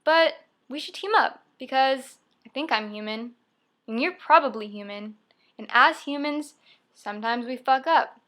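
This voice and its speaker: A woman's voice: high-pitched, a little slow, a bit bright. A young woman performing a spoken-word poem, reciting expressively in short, emphatic phrases. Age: young adult. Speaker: poet. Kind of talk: spoken-word poetry reading.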